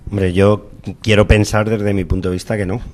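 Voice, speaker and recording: Spanish guy on a debate panel, lowish voice, explains stuff and checks you follow. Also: flat delivery, moderate pace, darkish tone, slight background noise. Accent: Spain. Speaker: youth football school representative, debate guest.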